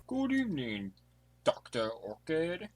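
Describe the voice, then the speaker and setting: A man's voice: a little high, huge pitch swings, slow, full character voice. A man playing a formal, deferential butler in an amateur audio drama. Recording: quiet, noisy mic with clicks.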